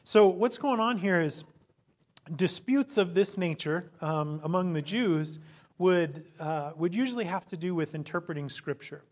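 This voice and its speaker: A hoarse male pastor preaching an earnest sermon, his voice sitting a little high. He talks in a preacherly way and often pauses with ums. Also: expressive. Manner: prays in a soft, low voice.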